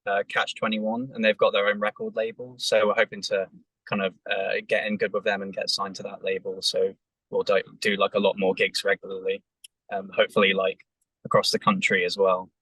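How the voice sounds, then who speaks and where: Hesitant English musician, dry car sound. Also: male voice, fairly low pitch, monotone, fairly quick, pushed and bright, breathy edge, no room sound, low-quality recording. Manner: hesitant, many fillers and restarts. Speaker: band member. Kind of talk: casual interview chat. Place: inside a parked car.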